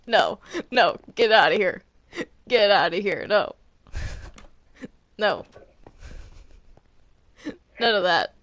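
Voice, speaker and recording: A gamer chatting casually during a video game roleplay, excitable and stammering, often repeating words. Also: medium pitch, very slow, pauses often, no room sound. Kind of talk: casual gameplay chat during Minecraft roleplay.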